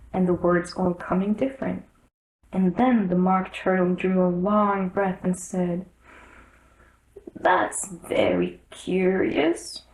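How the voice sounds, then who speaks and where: A woman's voice: mid-range voice, darkish tone. A woman reading a children's classic as a storyteller, in scripted narration. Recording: roomy echo.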